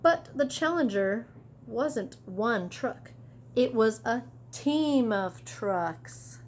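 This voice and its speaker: A woman reads a picture book aloud like a teacher with small children. Her voice sits a little high and she takes her time, adding animated asides as she goes. There is faint noise behind her. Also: very slow. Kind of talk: expressive children's story read-aloud.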